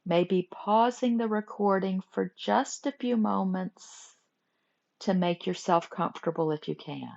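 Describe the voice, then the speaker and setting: Slow talking lady doing guided meditation, gentle short phrases, kinda muffled lo-fi audio. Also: medium pitch, creaky. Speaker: yoga nidra meditation teacher. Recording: muffled lo-fi recording.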